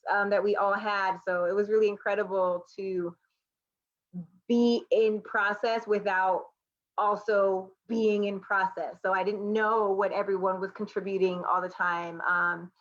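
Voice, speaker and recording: A woman's voice: mid-range voice, level tone, a little slow, highs cut off. A woman reflecting casually and off the cuff as a panelist, informal and enthusiastic. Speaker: artist and educator, panelist.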